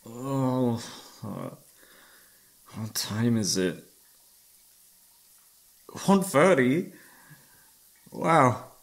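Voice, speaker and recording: Gravelly, tired boyfriend, acted by a man. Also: medium pitch, very animated, extremely slow, long pauses, exaggerated voice. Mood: groggy, gentle. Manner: tired, sighing, hesitant, soft-hearted. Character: exhausted, overworked, affectionate young boyfriend. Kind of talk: intimate ASMR roleplay acting.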